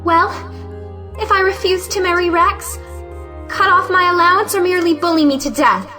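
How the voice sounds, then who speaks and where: High, bright, defiant young lady, played by a woman. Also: moderate pace, faint music behind. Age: young adult. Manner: earnest, plaintive, articulate. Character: defiant, frank young unmarried woman of means. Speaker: actress in an audio play. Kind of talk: theatrical audio drama acting of a 1917 play.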